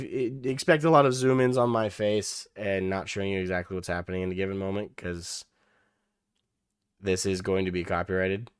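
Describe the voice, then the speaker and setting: A young man hosting a reaction video, losing his voice and hoarse, rambling casually with many restarts and the odd swear. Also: low-pitched, no room sound, studio quality.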